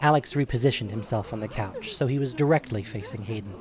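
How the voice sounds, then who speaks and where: A man's voice: medium pitch, fast talker, a little mellow. A man narrating a scripted story as the narrator of an audio drama.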